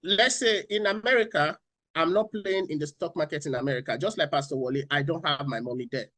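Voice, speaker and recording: A man's voice: fairly high pitch, brisk, pushed and bright, no room sound. A man from Nigeria, speaking as a finance panelist on a talk show, repeating key phrases and asking rhetorical questions.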